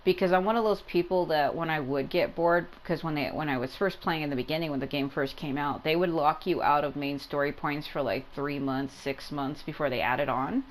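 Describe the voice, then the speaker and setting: A woman's voice: sits low, a little fast, hardly any pauses, a little hiss or hum, poor audio. A woman giving casual gameplay commentary as a video game streamer, rambling and repeating herself for emphasis.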